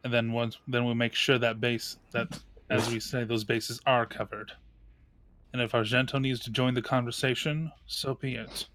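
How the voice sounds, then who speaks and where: A man playing a cautious, uneasy female elf warrior in a tabletop game, with measured, formal, deliberating speech. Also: mid-range voice, flat delivery, a bit bright, slight background noise, close to an everyday voice. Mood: cautious, resolute.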